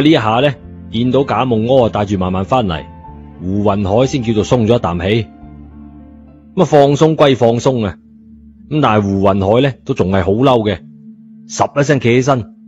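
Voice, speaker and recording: A man's voice: lowish voice, darkish tone. A man narrating a story in a colloquial scripted style, in a dull recording. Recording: dull lo-fi audio, music behind.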